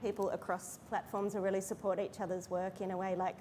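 A woman, an editor reflecting aloud on a panel with many pauses and fillers. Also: mid-range voice, level tone, dark tone, a little hiss or hum. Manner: hesitant, filler-heavy, reflective. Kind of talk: panel discussion. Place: large hall, seated on stage.

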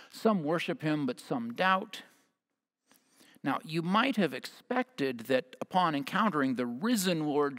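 An American man in his fifties preaching a sermon as a pastor, speaking in measured short phrases, partly reading from notes. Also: medium pitch, a bit bright. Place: church sanctuary at a lectern. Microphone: headset mic.

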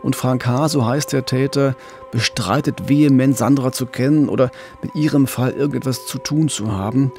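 A middle-aged man co-hosting a true-crime podcast, explaining cases in a measured, factual, journalistic way. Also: medium pitch, warm tone, faint music behind. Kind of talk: journalistic podcast storytelling in dialogue.

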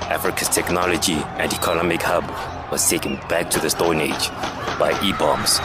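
A man giving scripted, dramatic narration for a science fiction audio drama. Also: deep voice. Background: music.

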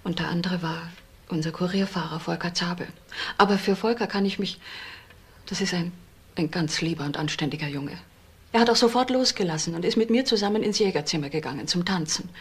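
An actress playing a discreet, halting woman witness being questioned in a German crime radio drama. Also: fairly low pitch, lightly acted. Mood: hesitant, uneasy. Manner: halting, careful.

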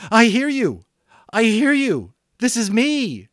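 A man voicing a panicked teenage boy stranded in a small plane, pleading and shouting frantically. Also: very high voice, wildly swooping pitch, slow, dry recording, studio quality, voice pushed up, heavily acted. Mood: desperate.

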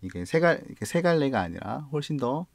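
A man's voice: mid-range voice, creaky, dry recording. A man with a Gyeongsang accent, reviewing guitar gear in a casual, explanatory way, sometimes correcting himself mid-word. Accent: Gyeongsang Korean. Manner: relaxed, explanatory, slightly halting with self-corrections. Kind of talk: casual product review vlog.

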